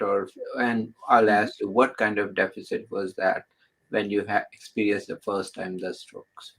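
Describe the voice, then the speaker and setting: Man, host, interview, halting, lots of restarts, lowish voice. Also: creaky, a bit bright, rough recording. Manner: halting, with many restarts and filler words.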